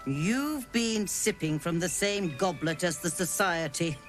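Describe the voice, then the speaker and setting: An actress voicing a haughty, scheming merchant woman who talks theatrically and flatters to get her way. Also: on the low side, measured pace, soft background music. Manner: theatrical, wheedling, affected.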